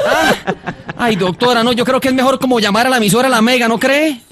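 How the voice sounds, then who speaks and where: A man's voice: very high voice, quick pace, a bit bright, slight background noise. A man playing a frantic, stammering ostrich owner who pleads with a vet for help in a radio phone prank. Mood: frantic panic.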